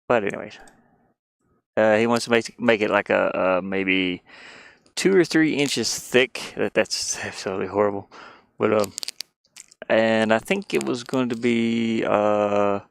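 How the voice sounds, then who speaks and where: A man chatting casually on a livestream, rambling and halting, often putting down his own efforts. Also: fairly low pitch, creaky, no echo. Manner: halting, rambling, self-deprecating. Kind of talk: casual livestream chat while sketching.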